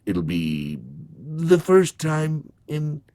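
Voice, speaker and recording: A man voicing a grim, patient old reaper from a storybook, gravelly and raspy, with a slow, folksy, wry delivery. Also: on the high side, very animated, mellow, pitched above the performer's own voice, heavily acted. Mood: patient, sly. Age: older. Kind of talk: dramatized storybook reading.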